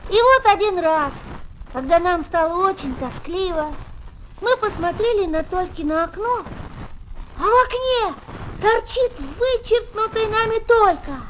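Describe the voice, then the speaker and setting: A piping, very high schoolboy speaks with spirited, childlike expression, as in a 1970s Soviet children's radio play. The old disc adds faint noise. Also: female voice, lively intonation, measured pace, fairly bright tone, exaggerated voice. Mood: storytelling. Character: spirited young schoolboy, narrating friend of Tolka. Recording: noisy old 1970s flexi-disc record.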